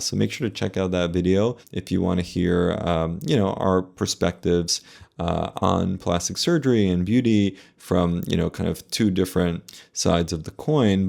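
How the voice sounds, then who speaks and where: A man speaking as a plastic surgeon, giving casual, measured, explanatory commentary in reaction to a video. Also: deep voice, creaky, a little mellow.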